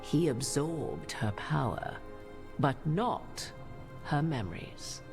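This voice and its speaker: A woman playing an imperious, enigmatic sorceress in a fantasy game, with archaic, measured and knowing speech. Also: sits low, sing-song, music in the background, exaggerated voice. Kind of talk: dramatic fantasy game dialogue.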